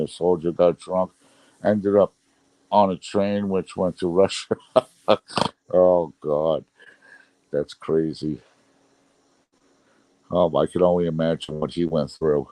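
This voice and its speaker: Brooklyn guy in his middle years, real low voice, dry close sound, chatty and rambling on a live stream. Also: dark tone, no room sound, low-quality recording. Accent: Brooklyn New York. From Brooklyn, New York. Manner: chatty, rambling, laughs often. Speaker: livestream host. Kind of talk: casual live chat reading comments.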